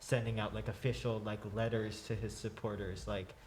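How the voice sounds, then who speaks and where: A man's voice: fairly low pitch, level tone, normal speed, darkish tone, slight background noise. A young man chatting casually as a panelist, hesitant and peppering his talk with "like". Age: young adult. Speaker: youth podcast panelist. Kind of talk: casual chat.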